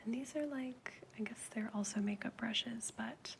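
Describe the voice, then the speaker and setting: A woman's voice: a little high, normal speed, gravelly, a little hiss or hum. Whispering, soothing ASMR woman. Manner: gentle, soothing, intimate. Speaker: ASMR video creator. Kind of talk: ASMR, soft whispering to the listener. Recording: loud crinkling and brushing noises close by. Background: crinkling, clicking and brushing props.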